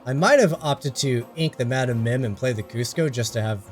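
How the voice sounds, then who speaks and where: A man's voice: mid-range voice, brisk, faint music behind. A man commentating his card game play while sick and losing his voice, chatty as he explains his choices. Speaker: card game content creator. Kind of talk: casual gameplay commentary.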